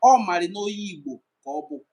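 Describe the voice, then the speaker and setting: High-voiced Nigerian man explaining. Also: animated, fast talker, darkish tone, dull audio. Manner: repetitive, emphatic listing. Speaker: cultural commentator. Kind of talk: educational talk to camera.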